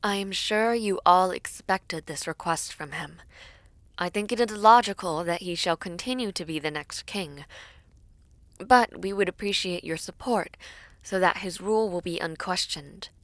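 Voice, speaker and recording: A woman playing a composed, loyal young princess in an audio drama, speaking in a measured, formal and courtly way. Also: on the low side, a bit bright, no echo. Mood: formal, diplomatic. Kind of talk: dramatic audio roleplay acting.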